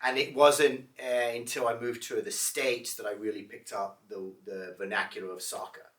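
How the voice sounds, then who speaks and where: A Scottish man co-hosting a casual podcast, cracking dry, deadpan jokes in easygoing banter. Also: medium pitch, moderate pace, reverberant, far from the mic. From Scotland. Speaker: podcast co-host, shop owner.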